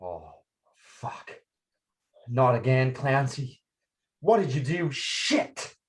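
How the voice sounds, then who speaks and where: A man's voice: medium pitch, big pitch swings, takes it slowly. A man playing a police officer in a scripted drama table read, with clipped, profane delivery. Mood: dismayed. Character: police officer, forceful then dismayed.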